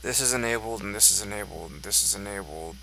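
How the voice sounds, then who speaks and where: A man's voice: lowish voice, fast talker, creaky, bright, forceful tone, a little hiss or hum, low-quality recording. A congested man thinking aloud as he walks through code on screen, musing and offhand. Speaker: code reviewer. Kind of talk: screen-recorded code walkthrough.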